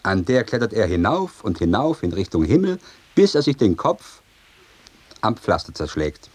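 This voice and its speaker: A man's voice: lowish voice, big pitch swings, normal speed, a little mellow, husky. A man playing an agitated, complaining servant in a theatrical radio comedy, declaiming with exasperation and pleading. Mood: exasperated, confiding. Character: agitated, complaining male servant of a mad master.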